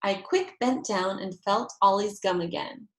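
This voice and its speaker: A woman narrating a children's chapter book aloud in an expressive read-aloud style. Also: medium pitch, a little slow, echoey room.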